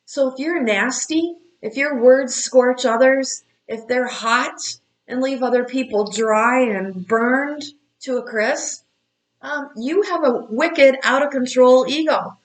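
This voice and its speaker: A woman in her fifties talks to her audience as an astrology coach. Her voice sits a little high, and she lectures in a blunt, scolding, motherly way. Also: slow pace, poor audio. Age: 50s. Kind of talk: direct-to-camera advice talk.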